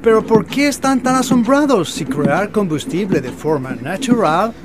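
A man's voice: sits high, very animated, normal speed, loud music behind the voice. A man acting a friendly, hospitable amateur inventor in a scripted educational drama. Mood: friendly, inviting. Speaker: actor in an educational family drama. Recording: clear vehicle and ambient noise.

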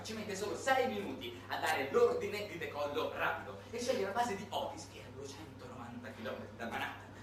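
A man performing a dramatic storytelling monologue, emphatic and sardonic, with halting pauses. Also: a little high. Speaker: stage monologue performer and narrator. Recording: echoey room, distant mic, noisy background.

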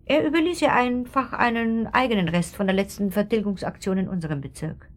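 An actress playing a gossipy, middle-aged upper-class pharmacist's wife in a German radio drama, insinuating and pointed, with trailing asides. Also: female voice, mid-range voice, a little fast, close to an everyday voice.

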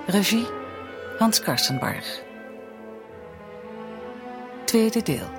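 A woman's voice: mid-range voice, big pitch swings, extremely slow, music in the background. A woman announcing the credits of a radio drama in a scripted style.

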